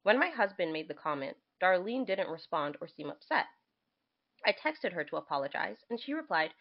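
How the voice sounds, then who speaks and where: Expressive woman with wide-swinging intonation. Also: lowish voice, dull audio. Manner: expressive, reacts to what is read. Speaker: podcast co-host. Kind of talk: casual chat and reading letters aloud.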